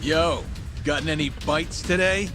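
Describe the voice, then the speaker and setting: A man's voice: on the high side, steady tone, a little slow, close to an everyday voice. An actor playing a pushy, threatening male street punk in a video game, cocky and needling. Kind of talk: video game cutscene acting. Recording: music behind the voice.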